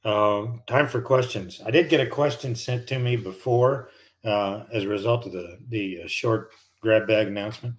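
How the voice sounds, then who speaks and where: Older American man, low easygoing voice. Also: moderate pace. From United States. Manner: rambling, conversational, many fillers and restarts. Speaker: livestream host, retired pilot. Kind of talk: casual live-stream chat.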